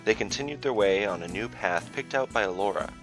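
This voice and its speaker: A man reading scripted fantasy narration as a podcast storyteller, in a measured, dramatic way. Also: fairly low pitch, expressive, fairly bright tone, faint music behind, rough recording. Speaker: storyteller and dungeon master, podcast host.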